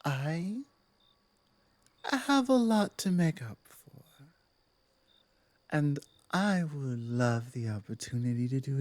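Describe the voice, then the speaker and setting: A woman voicing a dominant but softened female werewolf pack leader in an intimate ASMR roleplay, slow, breathy, apologetic and teasing. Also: low-pitched, dry recording, voice pushed down, exaggerated voice. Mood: tender, remorseful.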